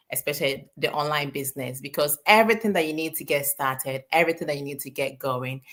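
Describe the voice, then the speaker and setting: Woman from ghana, low voice with a bright edge, earnest and encouraging, online panel talk. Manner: earnest, encouraging, repeats for emphasis. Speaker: guest speaker.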